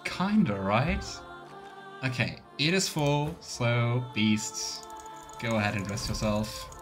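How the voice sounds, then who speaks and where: A man gives casual let's play commentary as a game streamer. He talks slowly at a middling pitch, musing aloud and slipping in small role-play asides. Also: music in the background. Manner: musing, thinking out loud.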